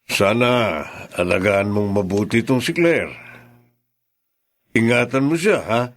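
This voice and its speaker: Actor as a low-voiced, solemn family lawyer. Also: male voice, unhurried, lightly acted. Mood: reassuring. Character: solemn, protective family lawyer, loyal friend. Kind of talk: radio drama acting.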